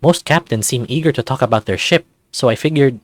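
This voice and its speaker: A man's voice: mid-range voice, brisk, no room sound, faint music behind, natural voice. A man voicing a friendly, inquisitive young lifeguard, the hero of a story game. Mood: curious. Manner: chatty, inquisitive.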